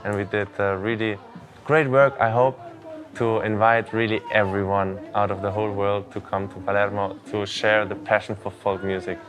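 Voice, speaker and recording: A man's voice: lowish voice, flat delivery, moderate pace. A man who helped organize a folk music festival, talking in an interview with some hesitation and fillers.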